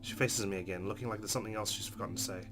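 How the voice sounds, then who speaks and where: A man's voice: lowish voice, fairly even intonation, very fast. A man playing a visual novel, reading the narration aloud expressively with casual, wry asides over game music. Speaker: let's player. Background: game music with guitar.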